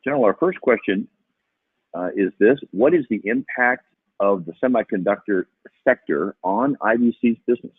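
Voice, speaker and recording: A man with a lowish, husky voice moderates an investor call, politely walking through procedure and questions. The sound is dry and squeezed, like a telephone line. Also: lively intonation, normal speed, no room sound. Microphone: telephone on a conference call. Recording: dry, narrowband tinny phone line.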